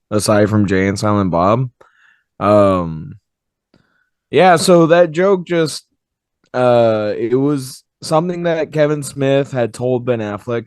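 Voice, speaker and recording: A hoarse man hosting a podcast, chatting in a relaxed, rambling way with some swearing. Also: mid-range voice, dry recording, clean studio sound. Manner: relaxed, rambling, fond of profanity. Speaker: podcast host. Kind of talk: casual chat.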